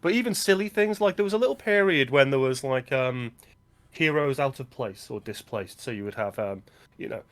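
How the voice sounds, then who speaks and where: A man's voice: medium pitch, expressive, a bit bright. A man co-hosting a film podcast, agreeing with his partner and adding short opinions in casual chat. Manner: quick agreeing interjections, offers short opinions. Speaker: podcast co-host.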